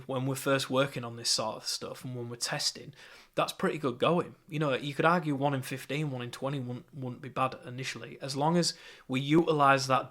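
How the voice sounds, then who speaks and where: A man's voice: mid-range voice, a bit bright. A young man with a Yorkshire accent, teaching an online marketing course in a conversational, upbeat way with fillers and restarts. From England.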